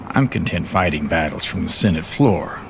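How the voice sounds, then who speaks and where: An actor playing a guarded, irritated senator who talks bluntly in everyday conversational tones. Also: male voice, deep voice, lively intonation, moderate pace, vocal fry, a little hiss or hum. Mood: wary, defiant. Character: wary, irritated male senator resisting pressure.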